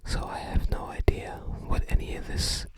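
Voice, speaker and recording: A person whispering softly and breathily in an unhurried, musing ASMR show-and-tell. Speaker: ASMR video creator.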